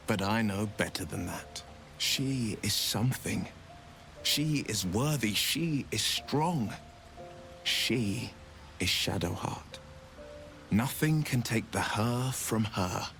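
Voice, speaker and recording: An earnest, devoted fantasy game companion. His lowish voice moves slowly and deliberately, stressing each statement, over faint music. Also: a bit bright. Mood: earnest, resolute. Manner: deliberate, emphatic. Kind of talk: video game dialogue.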